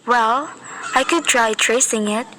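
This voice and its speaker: Woman as a high-voiced, officious chief operator. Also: sharp tone, slight background noise, low-quality recording. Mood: composed, officious. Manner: measured, formal, procedural. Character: calm, officious female chief telephone operator. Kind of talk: old radio thriller acting.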